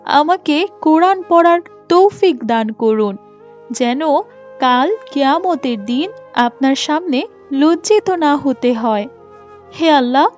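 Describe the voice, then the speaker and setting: A woman voicing a pious young child praying earnestly in a moral cartoon, in a pleading recitation. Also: high voice. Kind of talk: dubbed moral cartoon acting. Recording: muffled audio with music behind.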